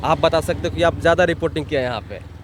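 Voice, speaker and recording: A man's voice: a little high, quick pace. A man from Jharkhand with a regional accent to match, giving blunt, exasperated opinions in colloquial speech as an interview guest, often through rhetorical questions. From Jharkhand, India. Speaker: interview guest, online channel owner. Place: outdoors in a town, near a road. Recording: clear outdoor street and traffic noise.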